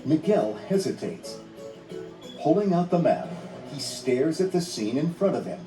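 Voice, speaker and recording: A man's voice: fairly high pitch, very animated, measured pace, dark tone. A man reading a children's read-along story in a dramatic, scripted storytelling manner. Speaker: narrator. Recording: roomy, distant sound under music.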